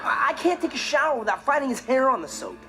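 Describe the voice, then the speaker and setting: A man's voice: very high voice, sing-song, fast talker, background noise. A young man with an American accent playing a cocky, mischievous teenage stepbrother in a sitcom monologue, teasing and exaggerating. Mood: exasperated. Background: loud music.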